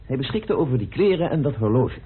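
Radio-play sleuth: very husky, lively pitch, deliberate and accusing, with weighty pauses. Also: male voice. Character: methodical male amateur detective confronting suspects, deliberate and insistent. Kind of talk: radio play acting in Dutch. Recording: muffled old radio drama recording.

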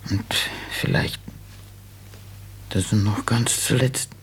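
An actress playing an insistent, plaintive old mother who talks plainly and haltingly, in a German radio play. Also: extremely low pitch, steady tone, a little hiss or hum. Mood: coaxing, wistful. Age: older. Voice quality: husky, creaky. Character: nagging, money-minded old widowed mother, plain-spoken.

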